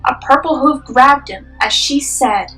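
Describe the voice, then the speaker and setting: Bright young woman narrating a story. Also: sits high, unhurried, distant mic, soft background music. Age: young adult. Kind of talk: scripted dramatic story narration.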